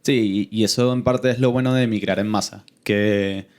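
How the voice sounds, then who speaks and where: A man from Venezuela hosting a podcast, calm and conversational, often answering with short agreement. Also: fairly low pitch, normal speed. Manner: calm, frequent agreeing replies. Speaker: podcast host.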